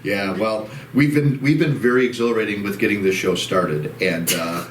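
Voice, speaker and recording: A man's voice: on the low side, moderate pace. A man co-hosting a casual real estate chat show, rambling with restarts and upbeat sign-offs, with a soothing voice. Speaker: co-host of a title company show. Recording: roomy, distant mic, faint background noise.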